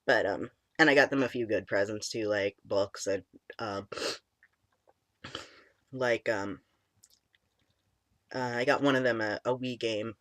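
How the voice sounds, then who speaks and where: Young man, cracky squeaky voice, stuffy with a cold, rambling casual vlog, dry close sound. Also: unhurried, long pauses, fairly bright tone, dry recording. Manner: rambling, full of ums and likes. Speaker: vlogger. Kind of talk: casual chat. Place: small room indoors.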